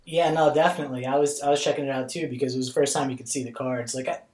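A man's voice: medium pitch, steady tone, rapid-fire, a little mellow. A man hosting a podcast, talking in a casual, slangy, laid-back way. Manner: laid-back, slangy, calls people bro. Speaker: podcast host. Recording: roomy echo.

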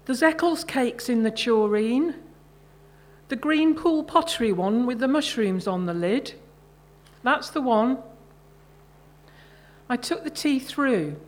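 A middle-aged woman, an author reading her own short fiction at a live event, measured and carefully phrased. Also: on the high side.

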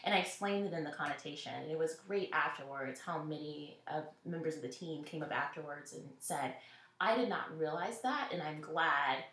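Woman, low voice, roomy echo, earnest reflective interview answers. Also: expressive, normal speed, a bit bright. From Nashville, Tennessee. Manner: earnest, reflective, quoting others in anecdotes. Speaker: interview guest, school network executive.

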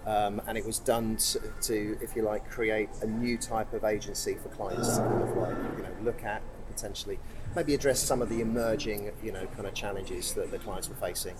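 A man answers interview questions quickly, his voice lowish, and he rambles with plenty of fillers. Clear background noise, including passing traffic, runs behind him. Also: fairly even intonation. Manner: fast, rambling, heavy fillers. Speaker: interview guest, agency executive.

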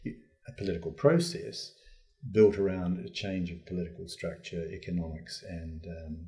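A man being interviewed in an unscripted discussion, speaking in a halting, searching way and often reworking his phrases. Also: low-pitched, steady tone, warm tone. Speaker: interviewee giving his views on politics.

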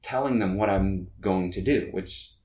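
A man's voice: low voice, unhurried, darkish tone. A man, a musician chatting in an interview, rambling with frequent restarts. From Norway. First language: Norwegian. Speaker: musician guest. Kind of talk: casual interview chat.

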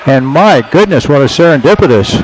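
A man's voice: fairly high pitch, expressive, a little fast, low-quality recording. A man giving radio color commentary on a basketball game as a coach, talking in a chatty, folksy way with reflective asides. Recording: live gym broadcast with crowd noise.

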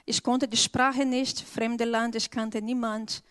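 A woman with a Brazilian accent speaks slowly and earnestly, choosing each word with care. Her voice sits fairly high as she shares a personal testimony before a church congregation. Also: level tone, a bit bright, clean tone. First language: Portuguese. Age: adult with an eleven-year-old daughter. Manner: slow, earnest, careful phrasing.

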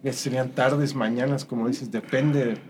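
A man's voice: medium pitch, fairly even intonation, moderate pace, a little mellow. Mexican man over background noise. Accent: central Mexican. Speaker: school director, interview guest. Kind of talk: courteous public greeting. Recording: clear background noise and ambience.

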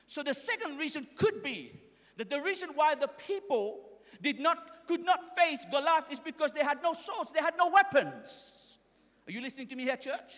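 A young man preaching a sermon in a church, speaking English as a second language, fiery and often shouting in call-and-response with the congregation. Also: very high voice. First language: not English. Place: church hall. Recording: echoey church hall reverb.

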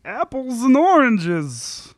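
A man reads the part of a petulant sitcom husband who justifies himself. The voice is high for a man and closely recorded, with a dry sound and an amateur table-read delivery. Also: huge pitch swings, slow, no echo, full character voice. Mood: petulant, flippant.

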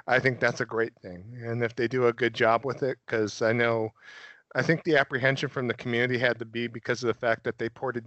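A man's voice: mid-range voice, fairly bright tone, dry recording. A man hosting a casual gaming podcast, joking and often laughing. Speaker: podcast host. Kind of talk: casual chat.

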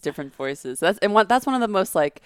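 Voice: medium pitch, very animated, fast talker, smooth, no echo, studio quality. A speaker in a casual group chat, reflective and emphatic about ideas. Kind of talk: casual chat among friends.

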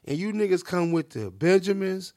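A man's voice: high-pitched, expressive, normal speed, warm tone, dry recording, clean studio sound. A man in his fifties from Chicago's South Side, co-hosting a podcast in casual chat and repeating his points emphatically. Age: 50s. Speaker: podcast co-host.

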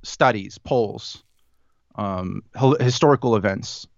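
Brief: man, thirties, interview guest, smooth voice, dry lo-fi sound, halting and thoughtful. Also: lowish voice, no room sound. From born in the US, raised in Chile. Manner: thoughtful, halting, many ums and restarts. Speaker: podcast guest. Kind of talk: casual conversational interview. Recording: dull, lo-fi dry audio.

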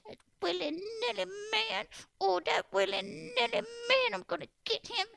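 A woman voicing a little old woman in a told folktale, using a shrill, quavering voice. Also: fairly even intonation, measured pace, creaky, sharp tone, slight background noise, heavily acted. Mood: furious.

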